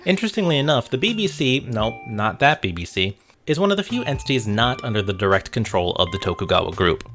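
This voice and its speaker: A man's voice: mid-range voice, very animated, quick pace, a bit bright. A man narrating a scripted video essay about games in a wry, conversational way, with asides to the viewer. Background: music.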